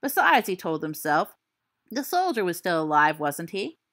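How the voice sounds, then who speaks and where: A teenage wizard boy spinning a spooky fireside tale with theatrical flair and wry asides, read by a woman. His voice is bright and lively, swinging up and down as he builds the suspense. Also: on the low side, moderate pace. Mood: storytelling. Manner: theatrical storytelling, dry asides.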